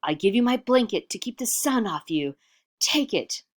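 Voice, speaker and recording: A man's voice: sits high, lively intonation, moderate pace, voice pushed up. A woman voicing a vain, boastful young trickster man from a folk tale, in a self-important, theatrical way. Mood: pompous.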